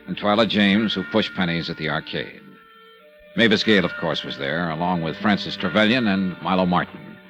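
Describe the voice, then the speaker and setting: A wry tough-guy investigator, low voice, lots of swing, old radio w music. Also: low-quality recording. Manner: wry, hardboiled, lively delivery. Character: hardboiled male insurance investigator, wry. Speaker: narrator and lead. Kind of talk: 1950s radio drama acting.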